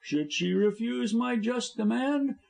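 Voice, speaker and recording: A man's voice: sits high, lively intonation, moderate pace, pitched above the performer's own voice. A man reading an audiobook, voicing a scheming male lawyer who sneers and threatens. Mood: threatening.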